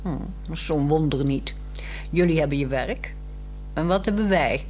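A woman's voice: low voice, normal speed, darkish tone, faint music behind, natural voice. A woman with a Netherlands Dutch accent playing a bored, frank housewife in a 1960s radio drama, probing and pointed in a dry way.

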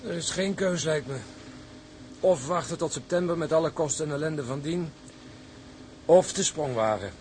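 A man's voice: fairly high pitch, normal speed, pauses often, darkish tone, slight background noise, close to an everyday voice. An actor playing a light-hearted, optimistic and teasing tugboat crewman in a seafaring audio drama, speaking expressively. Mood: questioning, teasing. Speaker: actor and narrator in a radio drama. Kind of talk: Dutch audio drama acting.

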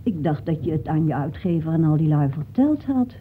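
A woman's voice: low-pitched, animated, fairly quick. An anxious, inquisitive wife in a vintage radio play. She questions with domestic concern. The old recording is narrow and muffled, with faint noise underneath. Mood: probing, concerned. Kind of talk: Dutch radio play acting.

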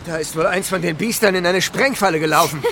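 Hard island boss, fairly high voice, commanding, scornful, horror radio play, background noise. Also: male voice, quick pace, pushed and bright. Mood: tense, resolute. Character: ruthless island leader, hard, scornful and armed man. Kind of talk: German radio horror drama acting.

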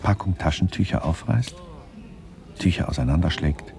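Radio play narrator: extremely low, dark, mellow, slow and deadpan, observing in clipped fragments. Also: male voice, vocal fry, faint music behind. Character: detached male narrator minutely observing people.

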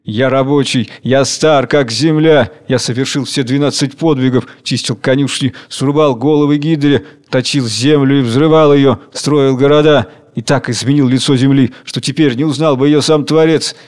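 A man's voice: steady tone, moderate pace, few pauses, a little mellow, natural voice. A man acting a weary, menacing old worker with a dry, strong voice in a dramatic reading. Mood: grim, weary. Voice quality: dry, strong, deep-drawn voice.

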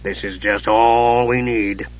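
A man's voice: mid-range voice, moderate pace, pushed and bright, dull audio. A man voicing a blunt, irritable male dwarf who mutters and growls, as in a fantasy audiobook. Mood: impatient grumbling.

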